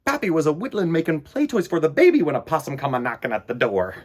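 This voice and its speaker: Male kids' storytime host, lively swooping pitch, husky tone, playful and direct with young listeners. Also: fast talker. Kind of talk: chatty read-aloud for children.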